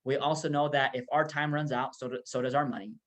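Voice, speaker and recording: A man's voice: mid-range voice, level tone, quick pace, a bit bright. A man from Peru, presenting a casual, filler-heavy motivational talk about making money. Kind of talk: business opportunity presentation.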